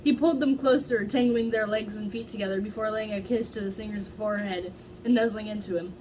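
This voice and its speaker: A woman's voice: medium pitch, fairly even intonation, quick pace, noisy background. A woman doing an amateur dramatic read-aloud, stumbling over words and slipping into giggly casual asides. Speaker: fan fiction reader.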